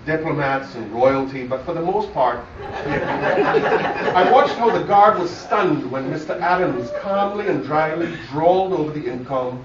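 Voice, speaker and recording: A man with a Barbadian accent delivers a scripted memorial speech about his father in measured, formal storytelling fashion. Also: on the high side, animated, heavy background noise. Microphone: phone camera far from the speaker. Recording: distant, muffled phone recording, echoey room.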